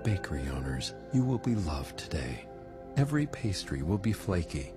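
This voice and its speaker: Very deep, soothing ad narrator. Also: male voice, sing-song, normal speed. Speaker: commercial voice-over. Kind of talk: scripted radio ad. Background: music.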